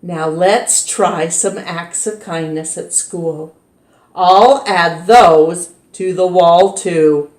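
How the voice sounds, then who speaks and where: A woman voicing a cheerful female schoolteacher in a storybook, with a bright sing-song manner. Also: fairly low pitch, extremely slow. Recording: roomy echo.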